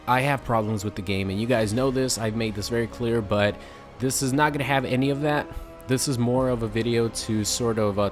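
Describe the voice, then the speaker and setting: A young man with a fairly low voice talks loosely to his audience, full of fillers and the odd swear word. His throat is sore, so the voice carries a light rasp. Faint music sits under the talk. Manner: casual, rambling, frequent fillers and swearing. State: sore throat, slightly raspy. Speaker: gaming YouTuber. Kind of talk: casual chat to camera.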